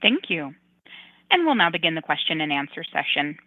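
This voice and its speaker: Female conference operator reading scripted instructions, animated intonation, over a thin telephone connection. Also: deep voice, normal speed, no room sound. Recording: dry, narrow phone line.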